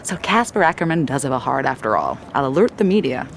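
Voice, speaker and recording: A woman's voice: a bass voice, big pitch swings, fast, gravelly, a little hiss or hum, full character voice. An actress playing a woman who teases and prods a racing driver, in a video game cutscene. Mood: teasing. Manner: teasing, prodding. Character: teasing woman close to the driver, playful.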